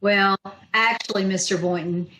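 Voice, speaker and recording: A woman's voice: medium pitch, fairly even intonation, normal speed, sharp tone, roomy sound, a little hiss or hum, natural voice, poor audio. A woman playing a dry-witted, sarcastic schoolteacher in old-time radio comedy style, recorded over a video call in a room. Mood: dry, sardonic. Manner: wry, sarcastic comebacks. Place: echoey room, remote video-call performance.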